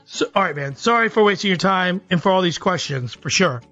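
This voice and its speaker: Very high-voiced stammering man, muffled. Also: expressive, fairly quick, sharp tone, faint music behind. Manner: stammering, incredulous, repetitive. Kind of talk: casual phone questioning. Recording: dull lo-fi phone audio.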